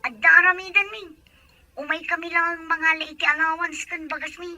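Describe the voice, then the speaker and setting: A self-centred student child of farmers in an Ilocano radio drama. The voice is very high and piercingly bright, whining with an Ilocano accent. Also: female voice, steady tone, fairly quick, dry recording, soft background music, exaggerated voice, poor audio.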